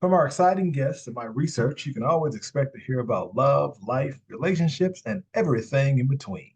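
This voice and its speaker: A man's voice: medium pitch, animated, fairly quick, slightly rough. A man hosting an interview podcast, upbeat in a scripted intro, then asking chatty questions with repeated words. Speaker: podcast host.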